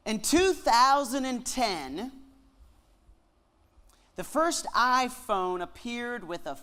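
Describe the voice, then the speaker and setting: A man's voice: very high voice, a bit bright, smooth. Canadian guy w a bit of southern drawl, preaching, cracks jokes, takes his time. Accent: Canadian with a slight Southern US twang. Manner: joking, with slow deliberate emphasis on key words. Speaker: guest speaker at a Bible lectureship. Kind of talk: sermon-style lecture.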